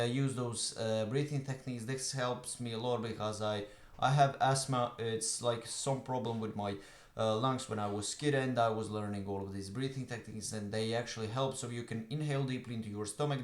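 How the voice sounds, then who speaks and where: A man's voice: medium pitch, a little mellow. A young man from Croatia with a Croatian accent, giving an earnest motivational talk to camera with many fillers. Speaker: online business coach. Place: room at home, evening. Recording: echoey room.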